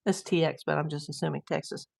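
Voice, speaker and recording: A woman's voice: deep voice, fairly even intonation, fast, vocal fry, darkish tone, husky. A middle-aged woman hosting a casual livestream, chatting and reading viewer comments while losing her voice. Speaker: livestream host.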